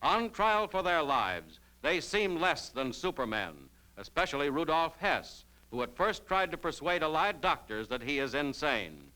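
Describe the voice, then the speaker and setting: Bright, swooping 1940s newsreel announcer, male. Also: fairly high pitch, very animated, unhurried, hardly any pauses, poor audio. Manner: dramatic, emphatic announcer delivery. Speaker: newsreel narrator. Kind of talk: 1940s newsreel scripted narration.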